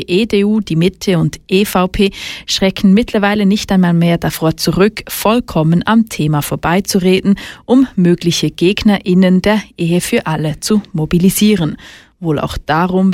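A woman reading a scripted radio news report in a measured, matter-of-fact way. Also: fairly low pitch, darkish tone, no echo, clean studio sound.

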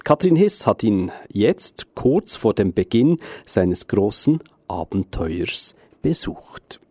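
Slow, dark-voiced male presenter. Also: on the low side, big pitch swings, a little hiss or hum. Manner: measured, deliberate reading. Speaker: radio news presenter. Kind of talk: scripted narration.

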